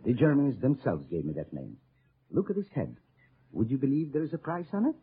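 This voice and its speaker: Excitable french resistance fighter, french accent, pitch all over the place, old tinny radio play. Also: male voice, brisk, slightly rough, exaggerated voice. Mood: jaunty. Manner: effusive, excitable, laughing. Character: exuberant little French underground leader, effusive and warm. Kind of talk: 1940s-50s radio drama acting. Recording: tinny, faintly noisy old radio recording.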